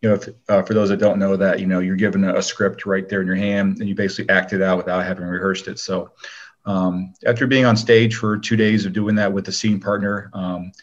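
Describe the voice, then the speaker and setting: A middle-aged man with a very low voice talks as a guest in a casual interview. He is modest and conversational. The recording is a little dull and lo-fi. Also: flat delivery, fairly quick.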